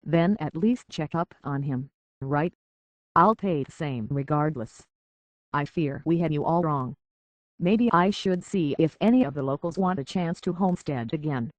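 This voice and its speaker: A man's voice: fairly high pitch, unhurried, dry recording, close to an everyday voice, poor audio. An actor playing a plain-spoken, folksy island barkeep in a video game, blunt and concerned for his own people. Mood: blunt, grudging. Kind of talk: video game character dialogue.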